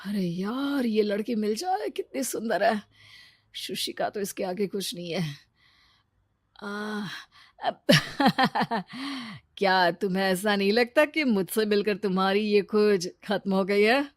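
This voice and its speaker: A woman's voice: mid-range voice, slow pace, no echo. A woman performs a selfish businessman husband from a moral cartoon tale. The voice is medium for a woman and dry. He comes across boastful, brash and fickle. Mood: smitten. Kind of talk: Hindi cartoon story dialogue.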